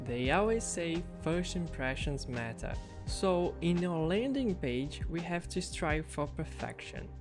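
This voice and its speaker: A man's voice: fairly high pitch, animated, a little slow, few pauses. A man whose first language is Portuguese, narrating a coding project in an upbeat, casual voice-over. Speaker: web developer vlogger. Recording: soft background music under voice.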